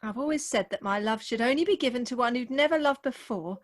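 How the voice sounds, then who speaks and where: Woman playing a high-voiced, melodramatic young bride. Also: fast, close to an everyday voice. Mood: tender. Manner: mannered, declamatory, earnest. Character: melodramatic, sentimental, jealous young bride in Victorian comedy. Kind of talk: theatrical comic radio play acting. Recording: remote home video-call recording.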